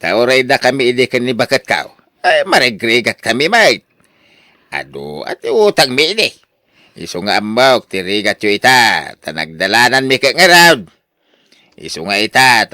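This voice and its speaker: An actor with an Ilocano Filipino accent playing a boastful, generous older husband in a radio drama, talking in a showy, exclamatory way. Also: male voice, medium pitch, sing-song, moderate pace, pushed and bright, dry recording, clean studio sound. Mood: proud, earnest. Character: boastful, generous older husband, newly rich lottery winner.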